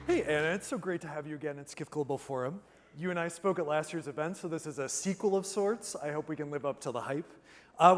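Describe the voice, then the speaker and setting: A man moderating an onstage interview with upbeat, conversational questions. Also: a little high. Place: conference stage. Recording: reverberant conference hall.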